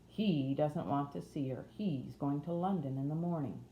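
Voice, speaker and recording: A woman's voice: sits low, moderate pace, dark tone. A woman voicing a thin old manservant giving curt orders in a storybook reading. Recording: roomy echo, faint noise.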